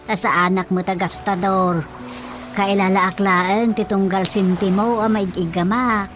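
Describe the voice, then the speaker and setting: A woman's voice: medium pitch, level tone, measured pace, natural voice. Fretful, thrifty mother over music woman acting. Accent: Ilocano. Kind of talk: comedic radio drama acting.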